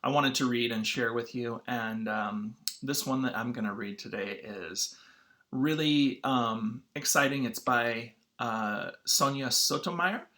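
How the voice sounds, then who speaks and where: A man speaking as a schoolteacher in an informal read-aloud lesson for children, halting and often clearing his throat. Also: medium pitch, sharp tone.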